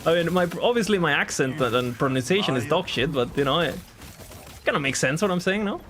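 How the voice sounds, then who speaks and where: Lively, playful male streamer. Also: a little high, a little fast, a little hiss or hum. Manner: playful, laughing, mock French phrases. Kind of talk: casual game stream chat.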